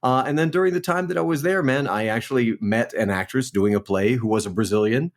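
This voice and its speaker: A man in his forties from New Orleans, a guest actor telling his career story in a relaxed, chatty way on a remote call. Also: medium pitch, fairly quick. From New Orleans, Louisiana. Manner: relaxed, storytelling, frequent "man" and "you know". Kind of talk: casual interview chat.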